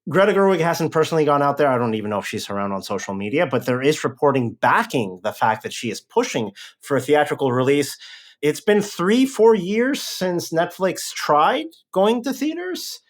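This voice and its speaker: A man from Mexico hosting a podcast, brisk and upbeat as he moves between chat and an interview. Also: a little high, lively intonation. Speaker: podcast host.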